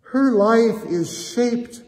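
A man's voice: very high-pitched, very slow, a little mellow. An older man preaching a reflective sermon in a church sanctuary, gesturing as he talks and addressing listeners as friends. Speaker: pastor. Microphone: camera at chest height, seated facing it. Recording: long church reverb, faint room noise.

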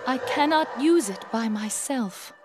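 A young genie girl in a video game. Her high voice moves expressively through formal, old-fashioned, respectful phrases. Music plays clearly behind her. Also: animated, a little slow. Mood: solemn. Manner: formal, archaic, reverent phrasing. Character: earnest, deferential young genie girl from the Arabian Nights. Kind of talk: video game cutscene acting. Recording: music under the voice.

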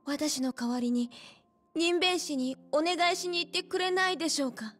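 Young club hostess, high-pitched, polite, earnest, pleading gentleness, game drama, faint music underneath. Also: female voice, moderate pace. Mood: pleading, serious. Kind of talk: Japanese video game cutscene acting.

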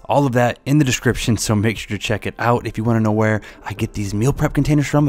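A man hosting a fitness channel, talking casually through a meal prep voice-over with a few fillers. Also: mid-range voice. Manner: relaxed, casual explanations with fillers. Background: faint background music.

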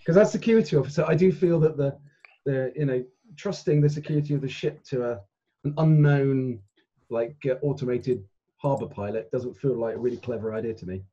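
A man with a husky voice chatting casually as a player in a tabletop game, wordy and a little hesitant, over muffled call audio. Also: mid-range voice, a little fast, mellow. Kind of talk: casual in-character game chat. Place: indoors, on a group video call.

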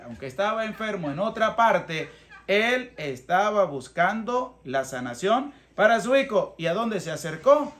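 A man's voice: high voice, fairly bright tone. A man in his thirties with a Venezuelan accent, a priest giving a plain, colloquial homily in an emphatic, teaching manner with rhetorical questions. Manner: emphatic, didactic, asks questions and answers them himself. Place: small rustic wooden room or shelter. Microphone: clip-on lapel mic.

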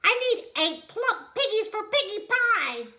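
Storybook witch, screeching, cackling, shrill, bright. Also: very animated, unhurried, full character voice. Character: screeching greedy witch hunting pigs.

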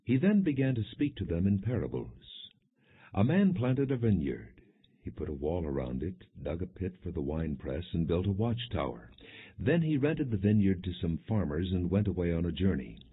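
A man with a low, husky voice narrates scripture from a prepared text. His delivery is solemn and measured, with expressive storytelling. The recording is muffled and thin, like a phone line. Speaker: audiobook narrator.

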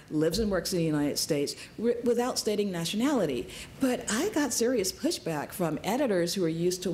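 Low-pitched lady curator on a panel, slow and deliberate, faint rumble behind. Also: a little mellow. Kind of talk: panel discussion. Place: large dim conference room.

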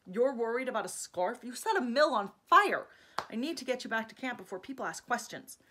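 A woman's voice: fairly high pitch, quick pace, a little hiss or hum, natural voice. A woman reading the part of a blunt young man who sounds exasperated. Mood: scolding.

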